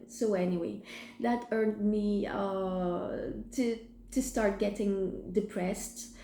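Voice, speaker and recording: Woman, 30s, sore-throat croak, slow and hesitant, recorded in an echoey room. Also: mid-range voice, warm tone, a little hiss or hum. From France. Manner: hesitant, many fillers and restarts. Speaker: vlogger sharing her recovery story. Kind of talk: personal testimony, casual talk to camera.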